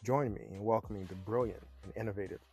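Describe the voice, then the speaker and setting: A man's voice: lowish voice, fairly even intonation, fast talker, darkish tone, faint music behind. A man hosting a podcast, moving from a punchy scripted intro into loose, chatty interview questions. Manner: upbeat announcer-style delivery, loose and chatty in conversation. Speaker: podcast host. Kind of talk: scripted intro then casual interview chat. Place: Chicago-area podcast setup.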